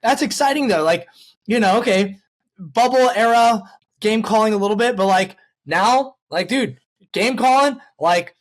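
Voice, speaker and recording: A man's voice: sits high, lively intonation, normal speed. A man hosting a casual sports podcast, speaking in an enthusiastic, informal way. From US West Coast. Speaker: podcast host.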